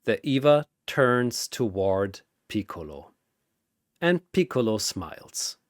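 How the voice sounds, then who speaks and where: A male narrator tells a battle story with theatrical punch. His pitch swings widely while his pace stays slow and deliberate, in a dry, close recording. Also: big pitch swings, dry recording. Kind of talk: dramatic scripted battle narration.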